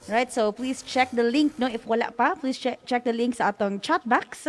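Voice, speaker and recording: A woman's voice: fairly high pitch, normal speed. A woman with a Filipino accent co-hosting an online class session in a casual, unscripted way. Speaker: co-host of an online radio school session.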